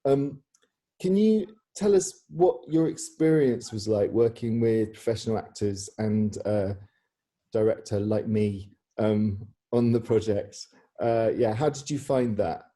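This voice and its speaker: Hesitant man with a very mellow, soft-edged voice. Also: fairly low pitch, unhurried. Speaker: theatre director hosting a Q&A. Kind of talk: informal interview chat. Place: indoors on a video call. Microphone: video call microphone.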